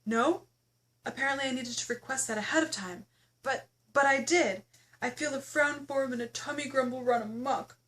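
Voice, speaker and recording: A woman reading her own memoir aloud as its author, in expressive first-person narration with a playful, theatrical, exclamatory storytelling manner. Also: high-pitched, normal speed.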